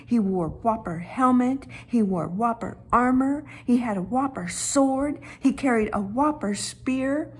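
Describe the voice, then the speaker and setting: A woman voicing a childlike, tender-hearted talking stone that tells a children's Bible story with dramatic, hushed and emphatic delivery. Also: on the high side, measured pace, few pauses, close to an everyday voice. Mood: awed.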